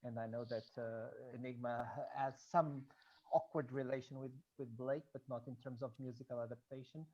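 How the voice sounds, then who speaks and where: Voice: mid-range voice, steady tone. Hesitant Portuguese-accented speaker, muffled audio. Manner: hesitant, halting with repetitions. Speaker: audience member, student researching Blake and music. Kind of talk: informal remarks in an online discussion.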